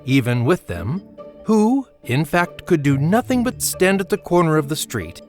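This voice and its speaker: A man reads a literary story with a measured, gently wry delivery. His medium-pitched voice rises and falls expressively, with soft music behind it. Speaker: narrator. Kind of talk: literary scripted storytelling narration.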